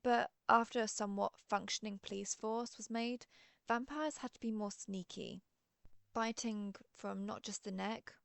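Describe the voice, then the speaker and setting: Woman playing a creaky-voiced, chatty female vampire. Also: mid-range voice, no room sound. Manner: playfully grand, chatty, self-amused. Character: friendly, chatty, theatrical century-old female vampire. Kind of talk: scripted audio roleplay monologue.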